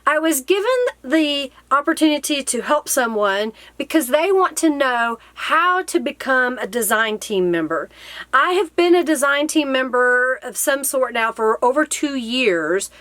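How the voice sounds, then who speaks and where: Middle-aged woman with a higher-pitched voice. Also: animated, unhurried, a bit bright, dry recording. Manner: explanatory, asks and answers own questions. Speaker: craft video host and blogger. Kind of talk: casual instructional talk to camera. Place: small cluttered indoor craft room.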